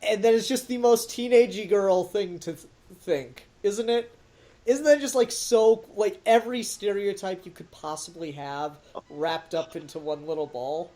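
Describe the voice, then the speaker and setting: Podcast cohost of unclear gender, mid pitch, chatting casually, blunt and really emphatic about what they think. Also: fairly even intonation, normal speed, dark tone. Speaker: podcast co-host reviewing an audio drama. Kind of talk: casual critical discussion.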